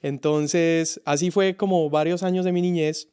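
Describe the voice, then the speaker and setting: Smooth-voiced man, reflective. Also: on the high side, fairly even intonation, mellow. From Colombia. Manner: reflective, halting with many restarts. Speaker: youth pastor, guest. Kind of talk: casual podcast conversation.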